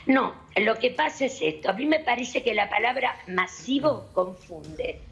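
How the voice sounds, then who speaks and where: A woman doctor with an Argentine accent, explaining calmly and methodically on a television panel. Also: on the high side, vocal fry. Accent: Argentine Spanish. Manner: measured, explanatory, addresses host formally.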